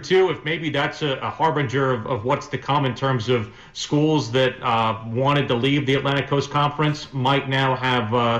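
Man, sports radio guest, mid pitch, measured and hesitant conversational delivery, echoing room sound. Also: a bit bright. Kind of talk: casual sports interview answers.

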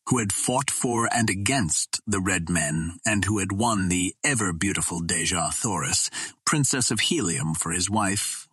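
A man narrates a classic adventure story in a fairly low register. His voice is rough and husky, and his phrasing is measured and formal. The sound is close and dry, with very little room. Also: a bit bright, no echo. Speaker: audiobook narrator. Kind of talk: scripted literary narration, reading an old adventure novel.

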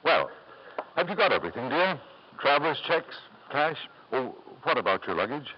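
An actor playing a powerful middle-aged tycoon in a radio drama, with halting, smooth-talking insistence. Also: male voice, medium pitch, big pitch swings, close to an everyday voice. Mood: genial, brisk. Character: powerful, self-satisfied middle-aged British tycoon, hesitant in charm. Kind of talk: 1950s radio drama acting. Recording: dull, noisy 1950s radio recording.

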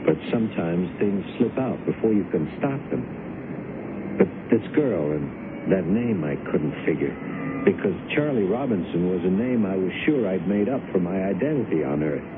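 Deep-voiced, bemused alien passing as human. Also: male voice, sing-song, moderate pace. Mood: confiding. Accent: American. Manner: hesitant, puzzled, plain-spoken. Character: bemused, wistful alien passing as an ordinary man. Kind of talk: radio drama acting with first-person narration. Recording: tinny old radio broadcast with music.

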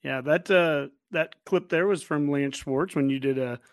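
An American man hosts a podcast in a casual, conversational style. His pitch is slightly high for a man, and the sound is close and dry. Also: unhurried, dry recording. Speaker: podcast host.

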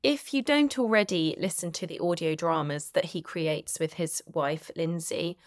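A woman's voice: lowish voice, normal speed, no echo, studio quality. A woman hosting a podcast, speaking in an effusive, enthusiastic way as she introduces and interviews. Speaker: podcast host.

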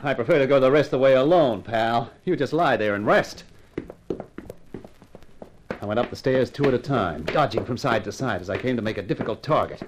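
A cocky, tough private eye played by a man from a 1950s radio thriller, talking in wry slang. His pitch swings widely as he narrates and trades lines, over the faint noise of an old broadcast recording. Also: lively intonation, fairly quick, close to an everyday voice. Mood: tough, urgent. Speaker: lead actor and narrator. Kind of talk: 1950s radio detective drama acting, first-person narration.

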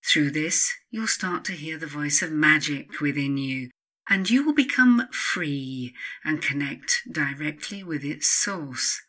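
A woman narrates her own self-help audiobook slowly, with a breathy, husky edge and a bright, forward tone. She is measured and instructive, setting off key phrases with emphatic pauses. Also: deep voice, expressive. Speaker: author reading own book.

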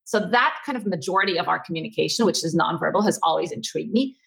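A woman from Egypt giving conversational, animated answers as an interview guest. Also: on the low side, fast talker, gravelly, low-quality recording. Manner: animated, expressive. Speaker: interview guest, tech company co-founder.

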